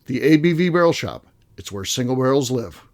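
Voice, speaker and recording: Lively joking man co-hosting a podcast. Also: mid-range voice, a little fast. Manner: lively, joking, reads sponsor ads. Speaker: podcast co-host. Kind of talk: casual chat.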